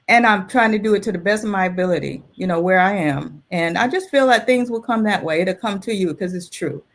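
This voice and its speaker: A woman's voice: medium pitch, fairly bright tone. Earnest older woman chatting as guest. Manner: earnest, conversational, repeats phrases for emphasis. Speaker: illustrator and artist, interview guest. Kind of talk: casual interview chat.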